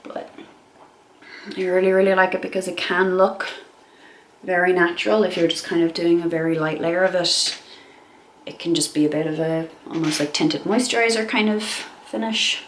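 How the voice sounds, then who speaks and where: A woman's voice: lowish voice, steady tone, normal speed. A young woman with a nasal voice from a cold, rambling casually while she does her makeup. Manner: chatty and rambling, many fillers. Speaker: beauty vlogger. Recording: echoey room, faint background hum.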